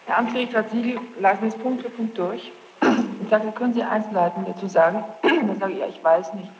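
A woman's voice: medium pitch, steady tone, fairly quick. A young woman giving spontaneous testimony as a witness, defensive and repeating herself. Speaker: witness under questioning. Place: large echoing courtroom. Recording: dull, noisy archive courtroom tape, echoey hall.

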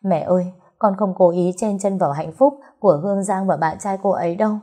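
A woman's voice: medium pitch, brisk, dark tone, close to an everyday voice. A woman voicing a meek, guilt-ridden young daughter, pleading and apologetic, in an audio story. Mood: desperate pleading.

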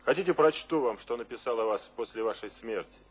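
An actor playing a bold, blunt male poet in a radio drama, speaking in a deliberate, declamatory manner. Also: mid-range voice, expressive, breathy edge. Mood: blunt, challenging. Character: Mayakovsky, bold blunt male poet reciting his own words. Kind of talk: theatrical radio drama acting.

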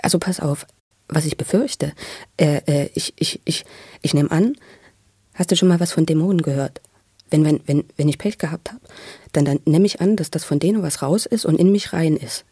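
A man, probably middle-aged, tells his own story in a husky voice, halting and repeating words with intensity. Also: a little high, mellow, dry recording, clean studio sound. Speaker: interviewee telling his life story. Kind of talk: spontaneous, emotional personal storytelling.

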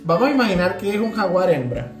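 A woman's voice: medium pitch, sing-song, fast. A Venezuelan woman chatting casually as a podcast co-host, explaining things and often repeating words as she goes. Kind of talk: casual chat. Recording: roomy echo, guitar music behind. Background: guitar music.

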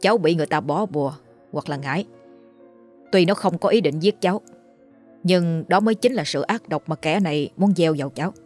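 A woman voicing a grave older uncle versed in exorcism, who speaks in a low, hushed and brisk, solemn way. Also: faint music behind.

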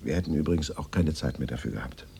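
An actor in a radio drama playing a weary, defensive war survivor who speaks in a subdued, evasive way. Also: male voice, rumbling low, fast talker, vocal fry, darkish tone, husky, exaggerated voice. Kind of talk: 1980s German radio drama dialogue.

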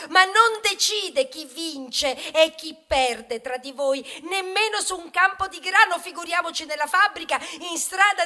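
A woman's voice: sits high, full character voice. A woman playing a talking grain of wheat in a theatrical monologue, wry and indignant, moving between muttered asides and protest. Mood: exasperated. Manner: ironic, conversational, swinging from muttered asides to loud protest. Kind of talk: theatrical stage monologue, ballad-like storytelling.

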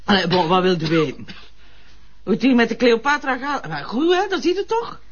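A pushy, boozy Flemish woman who runs a seedy café. Her voice sits fairly high and swings freely as she banters bluntly in a television drama. The sound is slightly dull. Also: very animated, a little fast. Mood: tipsy, bitter. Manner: blunt, bantering, drunkenly emphatic. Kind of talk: naturalistic TV drama acting.

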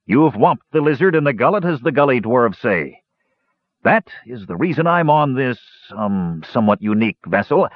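Man voicing a blustering gruff dwarf captain, husky. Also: lowish voice, huge pitch swings, measured pace, no room sound, highs cut off, full character voice. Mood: boastful, excited. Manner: hesitant mumbling bluster with salty sailor talk.

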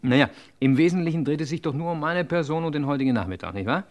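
A man's voice: a little high, fast, close to an everyday voice. An actor playing a chummy, nervously loyal henchman in an old German crime radio play. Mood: eager, placating. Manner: chummy, chuckling, protesting. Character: eager, ingratiating gangster henchman, nervously loyal to his boss.

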